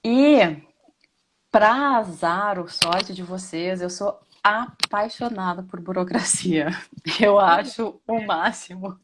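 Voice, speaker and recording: A woman's voice: medium pitch, takes it slowly, noisy background. A woman teacher with a Rio Grande do Sul accent, chatting casually on a video call with frequent hesitant restarts. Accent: Rio Grande do Sul Portuguese. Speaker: administration teacher. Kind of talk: casual live class chat.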